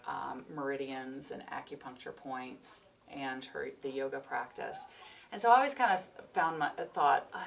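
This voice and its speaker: A woman's voice: a bass voice, vocal fry. A woman in her forties, a chiropractor and yoga teacher, chatting loosely in an interview and rambling a little as she goes. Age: 40s. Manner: rambling, free-spirited, laughs often. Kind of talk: casual interview chat. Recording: distant mic with faint noise.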